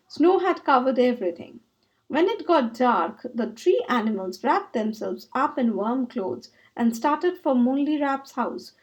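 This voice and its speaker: High-pitched, expressive woman storyteller. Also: low-quality recording. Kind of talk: children's book read-aloud narration.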